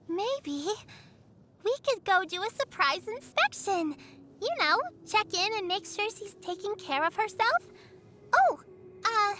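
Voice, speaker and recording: A woman's voice: extremely high pitch, bright, forceful tone, a little hiss or hum, exaggerated voice. A woman voicing a sweet, earnest little girl in an anime game, caring, innocent and chatty. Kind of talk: anime game character voicelines. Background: background music.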